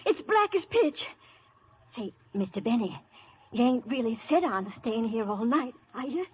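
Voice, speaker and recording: Through a dull vintage radio broadcast comes a very rough, husky country woman. She is a superstitious rural American wife who stretches out her warnings ominously. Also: on the high side, very animated, a little slow, heavily acted. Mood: ominous, fearful. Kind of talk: 1930s-40s radio comedy-drama acting.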